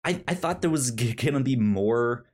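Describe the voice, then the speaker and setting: A man co-hosting a podcast, chatting casually about film in a halting way, often stammering and repeating the starts of words. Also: mid-range voice, expressive. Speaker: podcast co-host.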